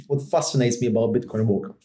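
A man with a Polish accent talks as a guest in a conversational interview. His voice is mellow and of medium pitch, and he speaks in a measured, halting way, often repeating words as he goes. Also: lively intonation. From Poland. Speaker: podcast guest.

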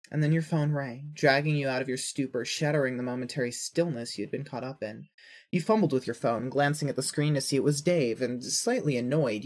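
Husky, mid-pitched dramatic narrator. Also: a little mellow. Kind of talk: scripted dramatic second-person narration.

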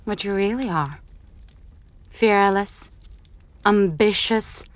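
A woman's voice: mid-range voice, slow, natural voice. An actress playing a sly, seductive, ambitious slave woman in a fantasy audio drama, intimate and insinuating. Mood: seductive, knowing.

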